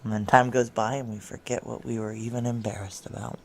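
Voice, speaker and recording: A woman's voice: extremely low pitch, steady tone, fairly quick, a little hiss or hum. An actress playing a weary, blunt career military woman in an audio drama, middle-aged, with an American accent and a wry, intimate way of talking. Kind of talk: naturalistic noir audio drama dialogue.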